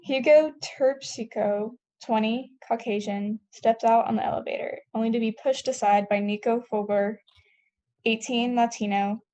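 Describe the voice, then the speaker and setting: A woman's voice: a little high, fairly even intonation, moderate pace, dark tone, dull audio. A young woman reading stage directions as scripted narration at a table read.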